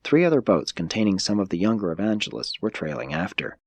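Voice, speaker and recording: A man narrates scripture-like stories in a low, husky voice. His delivery is measured and solemn, that of an audiobook reader, captured very dry and close without room sound. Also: animated, darkish tone, no echo. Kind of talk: scripted narration of a religious text.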